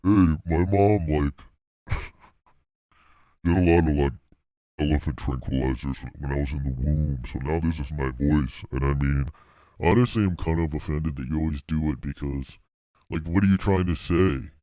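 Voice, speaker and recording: A man voicing a slow, dim-witted male jock who drawls and takes offense easily. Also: very deep voice, warm tone, dry recording, clean studio sound, pitched below the performer's own voice. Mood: offended. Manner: drawling, sluggish and indignant.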